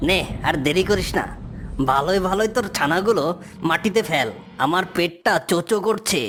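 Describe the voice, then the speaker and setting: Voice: mid-range voice, moderate pace, fairly bright tone, a little hiss or hum, natural voice. An actor voicing a greedy, mocking fox villain in a cartoon, with a taunting, bullying manner. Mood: threatening. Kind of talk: cartoon character dialogue.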